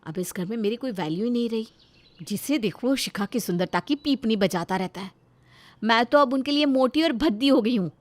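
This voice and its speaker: A woman acts out an aggrieved elder sister-in-law from a moral story. Her voice sits fairly high. She complains theatrically and feels hard done by, with sweetness only for show. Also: lively intonation, brisk, lightly acted. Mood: aggrieved, dramatic. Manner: dramatic, complaining. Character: weight-obsessed, resentful elder sister-in-law, falsely sweet, exasperated. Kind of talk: animated Hindi moral story dialogue.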